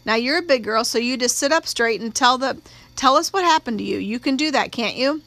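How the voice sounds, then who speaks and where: Audiobook role: woman reader as elderly male judge, gruff yet soothing, plain-spoken, with a forceful edge. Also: a little high, lively intonation, fairly quick, no room sound. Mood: gruffly reassuring. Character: old male judge, gruff, firm but trying to soothe. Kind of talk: acted audiobook dialogue.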